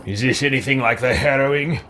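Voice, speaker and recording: Man voicing a bright, sharp, sardonic recruit. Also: medium pitch, brisk, a little hiss or hum, close to an everyday voice. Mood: suspicious. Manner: dry, curt, sardonic. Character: haughty, sarcastic, impatient male recruit. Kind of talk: scripted roleplay game dialogue.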